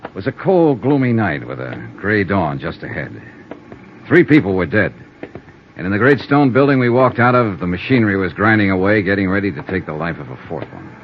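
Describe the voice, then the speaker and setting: A man's voice: low-pitched, very animated, fairly quick. An actor playing a tough, hard-boiled male investigator who narrates in a dry, clipped, wry manner, 1950s radio-drama style on an old recording. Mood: grim. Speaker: narrator and lead. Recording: dull old radio recording with faint hiss.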